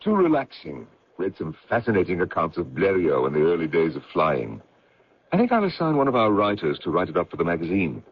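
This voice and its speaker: A man acting in an old-time radio drama as an earnest, protective, excitable magazine editor, theatrical and swinging from hushed to emphatic. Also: deep voice, brisk, a little hiss or hum. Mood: genial, musing. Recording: dull lo-fi radio drama recording.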